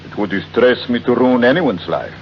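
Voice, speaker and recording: An actor playing a smug, shabby-genteel blackmailer of about fifty in old radio drama, speaking with formal, unhurried mock courtesy. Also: male voice, medium pitch, lively intonation, natural voice. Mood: oily, polite. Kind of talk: 1940s radio acting. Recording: dull, noisy old radio recording.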